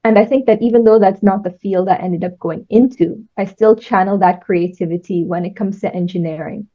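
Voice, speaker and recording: A young woman from Trinidad, answering interview questions in a measured, thoughtful way. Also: mid-range voice, lo-fi sound. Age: young adult. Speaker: engineering student guest.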